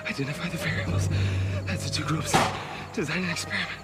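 A man's voice: on the high side, fast talker, lightly acted. An actor playing an overwhelmed man who freezes in a crisis and keeps asking for a second to think. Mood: muttering. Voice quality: tense, muttering to himself. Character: overwhelmed man frozen in panic. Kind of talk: film drama acting. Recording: film soundtrack under loud music.

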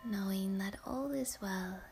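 Slow whispering woman meditation guide. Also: mid-range voice, gravelly, music in the background. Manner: hushed whisper, slow and soothing. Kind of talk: guided yoga nidra meditation.

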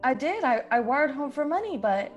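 A woman's voice: high-pitched, sing-song, normal speed, muffled recording, soft background music. A woman playing a plucky, earnest young woman in an old-time radio drama. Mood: pleading. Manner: earnest, imploring. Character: plucky, pleading young woman stranded in boy's disguise.

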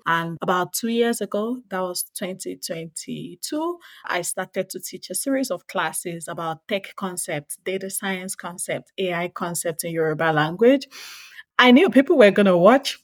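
A woman's voice: fairly low pitch, no room sound. A woman with a Nigerian accent talking casually as an interview guest. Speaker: podcast guest, data scientist and technical instructor.

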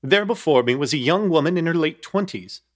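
A young man tells his story in a radio play with dry, measured humor, and his pitch rises and falls expressively from line to line. He sounds like a wry narrator caught up in a strange night. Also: expressive. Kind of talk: first-person radio drama narration.

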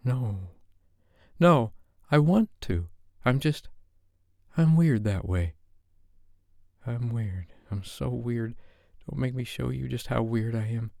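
A man voicing a shy, anxious young woman who speaks haltingly and pleadingly in a romance audiobook. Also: mid-range voice, vocal fry, a little mellow, dry recording, studio quality, voice pushed up. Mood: anxious, hesitant. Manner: halting, hesitant. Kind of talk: romance audiobook character dialogue.

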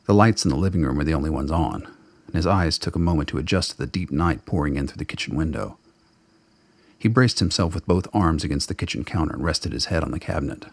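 A man narrates a radio drama in a very low voice with plenty of gravel in it. The delivery is brooding and literary, and the sound is close and dry, though the words come out somewhat husky. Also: quick pace, dry recording. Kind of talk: dramatic scripted narration of fiction.

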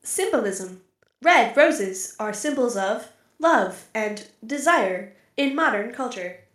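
A woman playing a literal-minded female AI archive computer in an audio drama, speaking in a flat, formal, deliberate way. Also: a little high, roomy sound. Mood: literal, dry. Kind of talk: scripted audio drama acting.

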